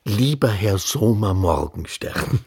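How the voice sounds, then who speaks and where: Reflective old-friend narrator, radio drama, really husky lowish voice, plain telling. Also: male voice, animated, slow pace, a little mellow, exaggerated voice. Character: Soma Morgenstern, reflective male friend recalling student days. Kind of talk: German radio play, memoir narration.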